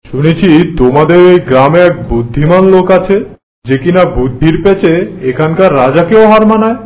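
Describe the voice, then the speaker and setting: A man playing a boastful, haughty king in an animated folk tale, speaking in a pompous, commanding way. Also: a little high, a little fast, close to an everyday voice, low-quality recording. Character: boastful, haughty king who prides himself on trickery.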